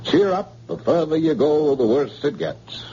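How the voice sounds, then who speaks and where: An actor playing a gentle, cheerful hospital doctor in an old radio drama style. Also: male voice, a little high, lively intonation, unhurried, breathy edge. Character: kindly hospital doctor, chief of staff. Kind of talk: 1940s radio acting. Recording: dull old radio broadcast.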